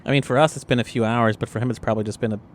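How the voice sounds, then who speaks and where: A man's voice: medium pitch, fairly even intonation, very fast, slight background noise. A man playing a tabletop role-playing game, chatting casually and tossing in joking, conversational asides. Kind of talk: casual chat and improvised role-play.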